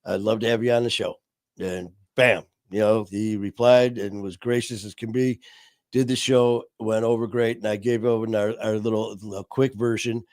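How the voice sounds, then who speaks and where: Low-voiced man hosting a live stream. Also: steady tone, moderate pace, a little mellow, no room sound, studio quality. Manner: chatty, grateful, rambling with many fillers. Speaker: host of a live online show. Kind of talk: casual live-stream chat.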